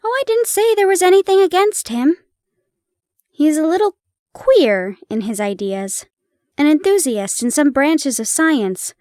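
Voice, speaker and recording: A woman's voice: high-pitched, lively intonation, measured pace, darkish tone, dry recording, studio quality. A woman voicing a friendly, chatty young male hospital dresser in a read-aloud story.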